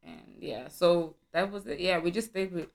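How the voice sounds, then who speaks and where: Low-pitched woman from Nigeria, casual podcast co-host, storytelling, livens up when indignant. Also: normal speed, creaky. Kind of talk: casual chat.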